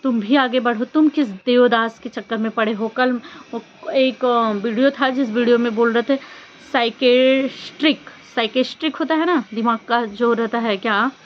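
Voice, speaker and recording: A woman vlogger giving casual opinion commentary in an emphatic, conversational way with rhetorical questions. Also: fairly high pitch. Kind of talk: casual opinion commentary on social media drama. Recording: dull lo-fi audio with background noise.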